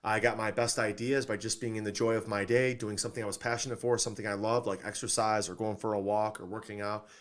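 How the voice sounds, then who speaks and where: A man's voice: on the low side, level tone, quick pace, few pauses. A man hosting a podcast in an upbeat interview style, enthusiastic and affirming as he talks. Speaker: podcast host.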